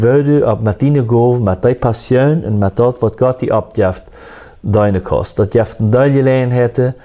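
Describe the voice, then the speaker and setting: A man, a musician, telling his life story in a soft-spoken, reflective and faith-centered way. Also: medium pitch. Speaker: musician guest.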